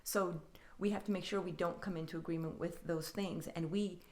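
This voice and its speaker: A woman's voice: lowish voice, fairly even intonation, fairly quick, a little mellow. A woman guest on a podcast, talking earnestly and thoughtfully about faith and identity, building her points in lists. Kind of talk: reflective faith-based conversation.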